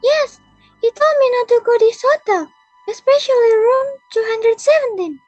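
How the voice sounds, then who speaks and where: A woman's voice: extremely high pitch, lively intonation, normal speed, a little mellow, no room sound, pitched above the performer's own voice. A woman voicing a small, innocent and curious boy in a readers theater drama, speaking simply and childlike. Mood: earnest, curious. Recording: muffled audio with background music.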